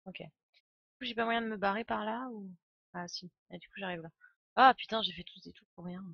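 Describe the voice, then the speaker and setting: A woman's voice: mid-range voice, fairly quick, vocal fry, dry recording. A woman commentates casually on a live game stream. She jokes and thinks aloud in a mid-range voice. The sound is close and dry but slightly dull. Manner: informal, joking, thinking aloud.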